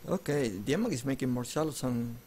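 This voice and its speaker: A man's voice: medium pitch, expressive, brisk, darkish tone, a little hiss or hum. A man casting a strategy game match in casual commentary, joking and often restarting his words. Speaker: esports commentator.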